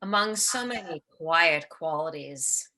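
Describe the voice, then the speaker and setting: An actress playing a jealous, imperious ageing stage star in a radio play, with a biting, theatrical sarcasm. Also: female voice, sits low, lively intonation, slow pace, background noise. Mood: acid, dry.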